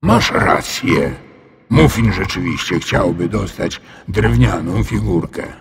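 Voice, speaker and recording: A kindly old dragon from a children's tale, acted out by a man, mumbles in a deep, gravelly voice. He draws his words out slowly and calmly, a little forgetful. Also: big-room sound, exaggerated voice. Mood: gentle, approving. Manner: unhurried, drawn-out, muttering to himself. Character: calm, kindly, absent-minded old male dragon.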